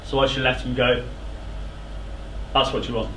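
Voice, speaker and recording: Young guy playing proud touchy king in a stage tragedy, bright high voice, echoey theatre. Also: level tone, moderate pace, lightly acted. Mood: furious. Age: young adult. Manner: declamatory, indignant. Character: proud, suspicious, hot-tempered king. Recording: echoey theatre with background noise.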